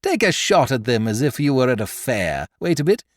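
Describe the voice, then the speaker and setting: A man voicing an excitable young male amateur detective, brash and mocking as he shows off his discovery. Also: mid-range voice, unhurried, no room sound, studio quality. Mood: exultant.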